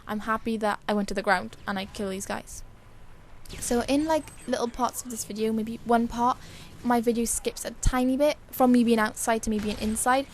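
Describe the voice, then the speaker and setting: A woman with a dry, hoarse voice giving casual, chatty and rambling commentary over a video game. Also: a little high, darkish tone, a little hiss or hum. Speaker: gaming video creator.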